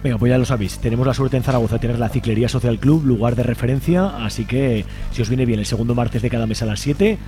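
A man's voice: mid-range voice, fast, mellow, soft background music. A man with an accent from Spain, hosting a radio show in an informal, colloquial way. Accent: Spanish from Spain. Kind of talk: casual radio interview and presenting.

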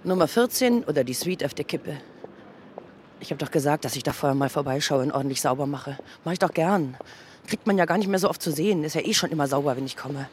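A man with a slightly high voice delivers a casual monologue as a hotel cleaner. There is faint noise behind him. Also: expressive, quick pace.

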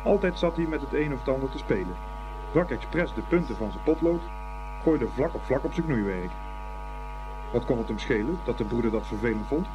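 A man's voice: medium pitch, expressive, brisk, poor audio. A man narrating a scripted story as the narrator of a radio play. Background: music.

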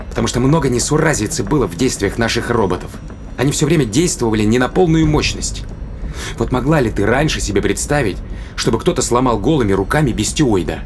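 A man's voice: mid-range voice, noisy background, lightly acted. An actor playing a determined, risk-taking man in an audio drama, arguing insistently and persuasively with rhetorical questions. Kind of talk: dramatic audio play acting.

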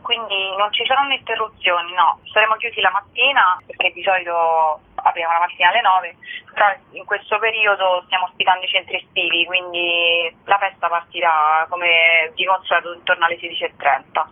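A woman's voice: on the low side, fairly even intonation, fairly quick. Tinny phone line, woman explaining informally. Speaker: event organiser, interview guest.

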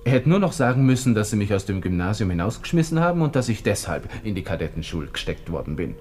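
An actor playing an arrogant, thin-skinned young army lieutenant with an Austrian accent, muttering indignantly in a radio drama monologue. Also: male voice, lowish voice, big pitch swings, quick pace, a little mellow. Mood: brooding, offended. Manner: haughty, indignant, muttering to himself. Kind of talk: 1960s German radio drama interior monologue.

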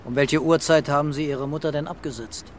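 An actor playing a laconic, patient male police commissioner putting unhurried questions in a radio drama. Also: a little high, fairly even intonation, a little hiss or hum, lightly acted. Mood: patient, questioning.

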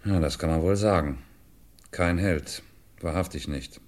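An actor playing a shrewd, understanding French police commissioner in a 1950s radio drama, explaining with deliberate, theatrical emphasis. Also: male voice, sits low, a little mellow. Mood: explaining, assured.

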